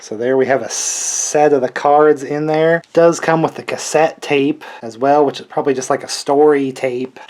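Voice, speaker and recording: A man's voice: on the high side, fairly even intonation, normal speed, few pauses, a little mellow. A man around his thirties or forties giving casual, chatty commentary as he hosts a collector's unboxing video, with wry, joking asides.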